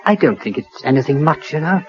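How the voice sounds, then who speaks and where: Casting: man as nonchalant gentleman traveller, mid-pitched and varied, muffled vintage radio sound. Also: lively intonation, brisk, natural voice. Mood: dismissive, casual. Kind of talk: 1940s British radio acting. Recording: dull old radio recording, music behind.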